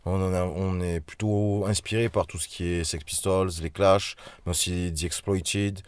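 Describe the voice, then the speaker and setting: A man's voice: deep voice, steady tone, normal speed, smooth, no room sound. A man from Provence, a band member, chatting casually as he answers interview questions.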